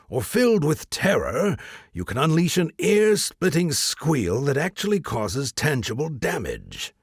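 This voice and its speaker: A husky male game announcer, acted by a man with extremely animated intonation. He is wry and theatrical, announcing as in a role-playing game, in a close, dry recording. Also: medium pitch, dry recording, heavily acted. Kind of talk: mock game-system announcement in an audiobook.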